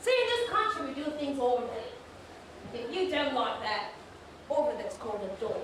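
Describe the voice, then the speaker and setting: A woman performs a domineering film director, sarcastic and measured, laying down authority in a stage piece. The recording is distant and very echoey, with clear noise. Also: sits high, expressive. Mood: condescending, cold. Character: condescending, domineering film director scolding an actor. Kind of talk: theatrical solo stage monologue performance. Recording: echoey hall, distant mic, noisy.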